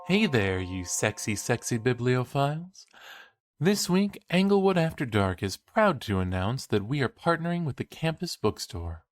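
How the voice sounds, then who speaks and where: An actor playing a cheeky, enthusiastic college student who hosts a true-crime podcast in an audio drama. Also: male voice, mid-range voice, big pitch swings, normal speed, no echo, lightly acted. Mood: cheeky promo. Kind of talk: conversational audio drama acting as podcast host.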